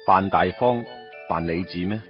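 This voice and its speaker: A man's voice: low voice, a little slow, faint music behind. A man playing a young man torn over his first love, narrating his thoughts wryly in a radio drama. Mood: brooding. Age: young adult. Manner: wry inner monologue. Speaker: lead character and narrator. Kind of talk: Cantonese radio drama acting. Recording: dull lo-fi radio recording.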